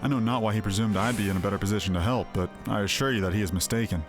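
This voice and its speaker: A man's voice: fairly low pitch, very fast, close to an everyday voice. A man voicing a modest, reluctant knight in a fantasy video game, with courteous, formal, archaic speech. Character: modest low-ranking male knight, reluctant but courteous. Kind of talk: video game character dialogue, read aloud. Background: music.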